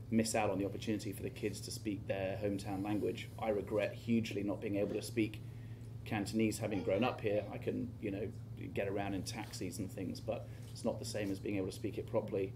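A man who grew up in Hong Kong, speaking as a parent on a panel in a lecture hall, measured and hesitant. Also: fairly low pitch, level tone, background noise. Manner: measured, hesitant with many ums. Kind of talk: panel discussion. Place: lecture hall stage before an audience.

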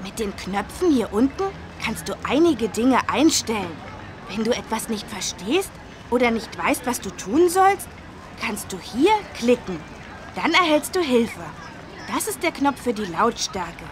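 Young cartoon schoolboy, high lively voice, eager, knowing, helpful, street noise behind. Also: female voice, a little slow. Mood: inviting, helpful. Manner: eager, explanatory. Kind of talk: children's learning game voice acting.

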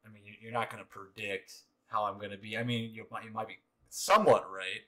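A man in his late twenties co-hosting a gaming channel, chatting casually and complaining in an animated, jokey, emphatic way. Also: lowish voice, fairly quick. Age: late 20s. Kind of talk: casual chat while gaming.

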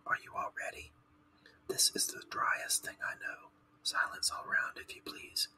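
A man voicing a self-important, easily irritated mouse lecturer in a storybook, in a pompous, breathy whisper. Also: unhurried, pushed and bright, poor audio. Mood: commanding. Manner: pompous, formal.

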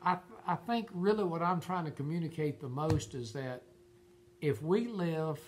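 A man's voice: fairly high pitch, moderate pace, a little mellow, a little hiss or hum. An older man giving informal Bible teaching in an earnest, emphatic way, often repeating his phrases. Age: older, about 70s. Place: furnished room at home.

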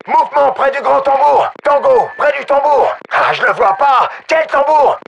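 A commanding male special forces soldier in a combat video game. His voice is strikingly high and distinctly gravelly. He barks short, tense, urgent callouts in clipped military fashion, over faint background noise. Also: fairly bright tone, exaggerated voice. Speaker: soldier character in a dubbed military shooter game.

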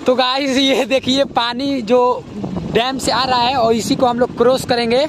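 A young man filming a travel vlog on his phone at arm's length, talking excitedly as he crosses a river and walks to a waterfall. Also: extremely high pitch, unhurried. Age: young adult. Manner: excited, calling out and cheering. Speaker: travel vlogger. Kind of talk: casual travel vlog narration. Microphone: phone selfie at arm's length. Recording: noisy outdoor phone recording.

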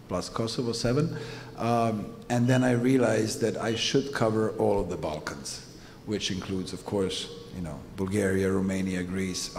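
A thoughtful man from Serbia talks through his answers at a panel, pausing and restarting often. His voice sits low. It rings out in a long hall reverb over a faint background hum. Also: a little mellow. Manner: earnest, thoughtful, many fillers. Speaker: photographer guest on a panel. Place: museum exhibition hall.

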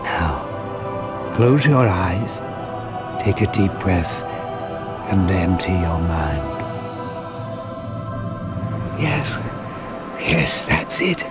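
A man playing a wise, solemn old dragon mentor in a video game, gravelly, with a slow, measured and grave delivery. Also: sits low, sing-song, heavily acted. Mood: hushed, rising intensity. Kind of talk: dramatic video game cutscene acting. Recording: music behind the voice.

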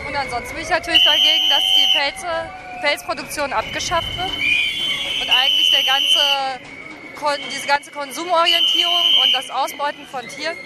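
High-voiced woman over loud street noise. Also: normal speed. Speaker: demonstration steward. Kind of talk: casual street interview. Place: outdoors on a street.

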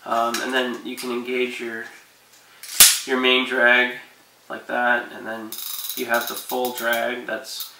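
Gravelly man chatting casually in echoey room. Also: medium pitch, slow, distant mic, very noisy. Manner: relaxed, rambling, many fillers. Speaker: fishing vlogger. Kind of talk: casual advice to camera. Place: living room, seated on couch.

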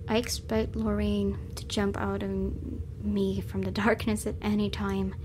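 A woman's voice: mid-range voice, background noise. A woman let's player reading horror game narration aloud, with casual reactions of her own.

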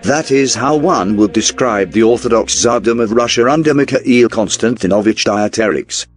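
A man reading scripted documentary narration in a formal, measured newsreel style. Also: mid-range voice. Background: background music.

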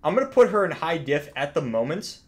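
A young man giving joking, slangy, excitable gaming commentary. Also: on the high side, a little fast. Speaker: gaming YouTuber.

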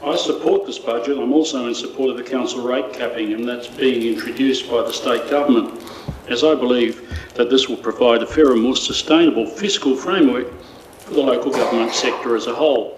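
A man's voice: mid-range voice, fairly quick, slight background noise. An older man reading a formal, pointed question aloud at a council meeting into a desk mic. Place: council chamber, indoors. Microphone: desk microphone. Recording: echoey council chamber.

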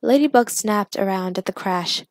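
A woman narrating fiction aloud in an expressive, measured, dramatic storytelling style. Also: medium pitch, dry recording, clean studio sound. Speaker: narrator and author of fan fiction.

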